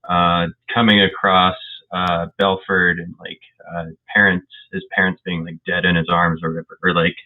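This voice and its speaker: A man's voice: sits low, little pitch movement, normal speed. A man chatting casually as a tabletop game player over a tinny voice chat.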